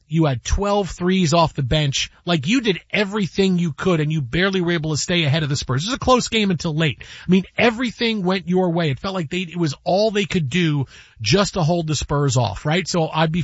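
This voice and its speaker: A man hosting a sports radio show, talking casually and rambling with quick asides. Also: fairly high pitch, no room sound. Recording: dull lo-fi broadcast with faint music.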